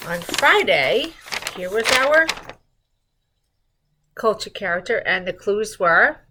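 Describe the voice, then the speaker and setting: A woman's voice: mid-range voice, very animated, slow, noisy background. A middle-aged woman hosting school morning announcements, speaking cheerfully to children from a seated spot in a school room. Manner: cheerful, addresses children, reads clues aloud.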